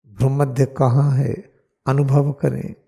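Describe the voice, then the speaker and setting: A man with an Indian accent leading a guided meditation as a teacher, giving deliberate, repeated, solemn instructions. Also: medium pitch, flat delivery, vocal fry, warm tone, breathy edge.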